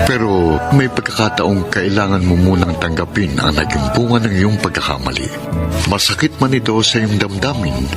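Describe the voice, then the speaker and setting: Low-voiced, lovelorn Filipino shop owner played by a man. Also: close to an everyday voice. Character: forgiving, lovelorn single man who owns a Korean mart. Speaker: radio drama actor and letter narrator. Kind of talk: Tagalog radio drama acting. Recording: music bed under the voice.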